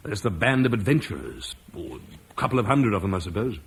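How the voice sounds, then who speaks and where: An actor playing Marcus, a duty-bound Roman officer, in an old radio play, earnest and measured. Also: male voice, fairly low pitch, sing-song, slight background noise. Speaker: Roman commander leaving Britain. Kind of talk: 1950s BBC radio drama acting.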